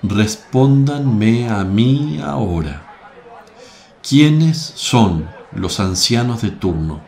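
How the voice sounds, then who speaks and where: Guy playing a calm religious teacher, radio play, low voice, super slow and solemn. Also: vocal fry, full character voice. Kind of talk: radio drama acting.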